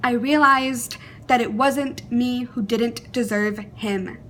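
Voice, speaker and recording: A young adult woman performing a dramatic monologue in an earnest, deliberate, emotive way, with pauses. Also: fairly high pitch, fairly even intonation, a bit bright, slight background noise.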